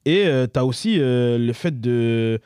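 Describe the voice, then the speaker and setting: A man's voice: mid-range voice, expressive, slow, darkish tone, clear, resonant tone, dry recording, clean studio sound. A man in his thirties with a Parisian suburban French accent, talking casually and emphatically as an interview guest, slangy and repeating himself. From France, Paris suburb of Montreuil. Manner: emphatic, repetitive, colloquial. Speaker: interview guest, comedy creator.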